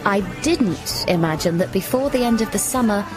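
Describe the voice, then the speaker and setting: An actress playing a plucky, puzzled heroine who narrates a surreal comic radio drama in a wry, earnest way. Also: female voice, mid-range voice, lively intonation, moderate pace, lightly acted. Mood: wry, reflective. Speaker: lead actor and narrator. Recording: music behind the voice.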